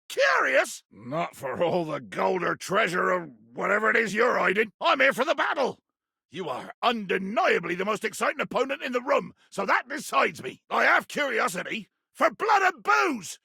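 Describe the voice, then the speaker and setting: A man playing a pompous, belligerent sea captain in a video game, with a raspy voice and a theatrical, grandiloquent delivery. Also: sits high, big pitch swings, moderate pace, bright, forceful tone, no room sound, clean studio sound, full character voice. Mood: defiant. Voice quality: gravelly, raspy.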